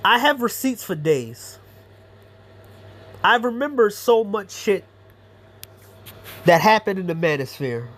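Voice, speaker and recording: A man's voice: high voice, big pitch swings, slow pace, long pauses, a bit bright. A man giving a blunt, profane, rambling commentary monologue as a YouTuber.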